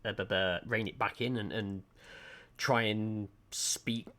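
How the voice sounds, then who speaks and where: A man's voice: fairly low pitch, steady tone, slow pace, a bit bright. Chatty Lancashire man, rambling. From Lancashire, England. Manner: rambling, chatty, self-deprecating, hesitant with frequent ums. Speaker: art livestreamer. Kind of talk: casual chat to stream viewers. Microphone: desk mic, webcam off.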